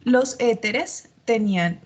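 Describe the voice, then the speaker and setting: A woman's voice: a little high, slow, a little mellow. A woman chemistry tutor, explaining patiently in an online class despite a slight cough and sore throat. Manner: patient, explanatory, frequent filler sounds. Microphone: computer mic on a video call.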